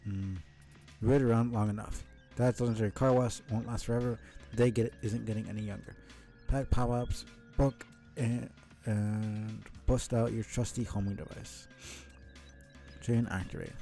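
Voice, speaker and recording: A man's voice: lowish voice, steady tone, creaky, warm tone, faint music behind. A man reading a story aloud on a live stream, stumbling over words now and then and dropping in casual asides. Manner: halting, stumbles and rereads words.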